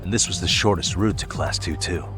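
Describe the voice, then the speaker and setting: Man playing a deep-voiced, unfazed detective. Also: fairly quick, over music, lightly acted. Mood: confident. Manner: terse, casual, unfazed. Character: cool, determined male detective sneaking on a mission. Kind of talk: English video game voice acting.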